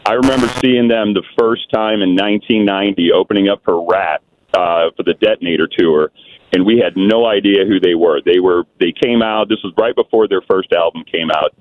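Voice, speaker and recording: A man's voice: low voice, fairly quick, a little hiss or hum. A man calling into a radio show, talking enthusiastically about a band he saw live. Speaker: caller. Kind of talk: call-in chat. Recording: phone line.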